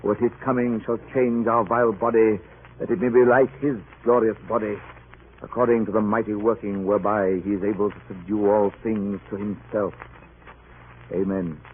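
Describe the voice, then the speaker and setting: A man's voice: fairly low pitch, flat delivery, moderate pace, slight background noise, close to an everyday voice. Man acting a grave sea captain, tinny radio. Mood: solemn. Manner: grave, formal, dramatic. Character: earnest, urgent ship's captain telling a grim sea tale. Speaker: narrator and ship's captain. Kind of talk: 1940s radio drama acting. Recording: tinny old radio, music behind.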